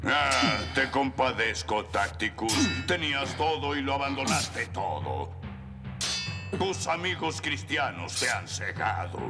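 An actor playing a ruthless, vengeful Roman soldier in a cartoon, with a gravelly, menacing delivery. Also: male voice, medium pitch, big pitch swings, takes it slowly. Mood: cold threatening. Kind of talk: cartoon villain dubbing. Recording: music behind the voice.

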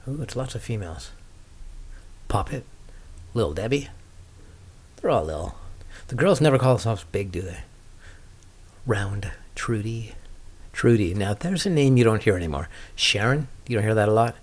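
A man's voice: lowish voice, animated, moderate pace, long pauses. Wry, casual man chatting. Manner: wry, dry humour. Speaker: skating podcast host. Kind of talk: casual rambling chat, answering viewer questions.

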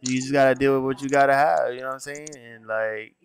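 A man's voice: medium pitch, steady tone, fast. A man hosting a live stream, rambling casually and grumbling with some swearing.

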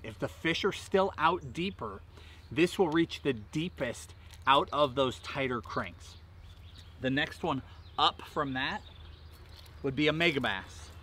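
A man's voice: a little high, bright, forceful tone, slight background noise. A man in his thirties hosting a fishing tutorial outdoors by a lake, talking emphatically with rhythmic repeated bursts. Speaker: fishing channel host. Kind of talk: energetic outdoor tackle tutorial. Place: outdoors at a lakeside park, seated. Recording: faint outdoor ambience behind voice.

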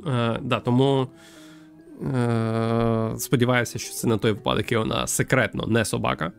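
A young man from Ukraine thinking aloud as he streams a game, with hesitant pauses and wry joking. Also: medium pitch, fairly even intonation, vocal fry. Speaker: streamer playing a visual novel. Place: small room at home with string lights. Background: game music.

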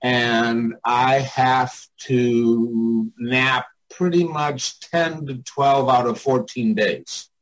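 An older man, a coach and mentor, talking casually and reflectively in a candid way with pauses. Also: medium pitch, fairly even intonation, rough recording.

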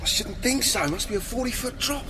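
A man's voice: extremely high pitch, lively intonation, fairly quick, noisy background. An actor playing a nervous, sceptical working man, a grumbling sidekick in a horror radio drama. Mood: uneasy.

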